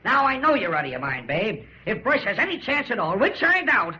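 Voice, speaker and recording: An actor playing an excitable, skeptical baseball manager, blunt and exasperated, in an old radio drama. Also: male voice, high voice, brisk, a loud crowd. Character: excitable, skeptical, scolding baseball team manager, a grown man. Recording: dull, lo-fi old radio recording.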